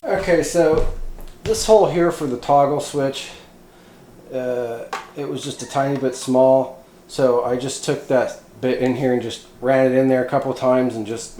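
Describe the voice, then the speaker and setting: In a roomy, echoing workspace with clear noise behind him, a man talks through a hands-on job. He has a mid-pitched voice and an informal, folksy delivery, as if thinking out loud while he works. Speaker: DIY electronics video host. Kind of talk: casual hands-on workshop narration. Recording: roomy echo, clear background noise, clicks.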